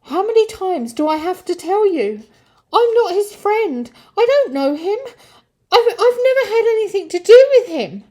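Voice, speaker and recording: A woman's voice: very high voice, sing-song, normal speed, a little mellow, voice pushed up. A woman voicing a frightened, defensive man who protests in agitation, in a children's story. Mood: panicked. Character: panicking, defensive man denying everything, frightened.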